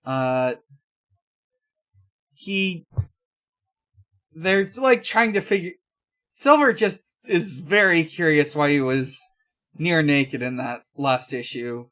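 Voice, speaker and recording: Podcast host, slow pace, mid-range pitch, halting, low-key, casual recap chat. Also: animated, fairly bright tone.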